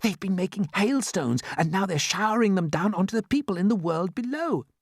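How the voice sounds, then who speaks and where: A performer voicing a cautious, sensible small boy who speaks in soft, hushed whispers in a children's storybook. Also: mid-range voice, expressive, a little fast, no echo, studio quality, pitched above the performer's own voice. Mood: excited whisper.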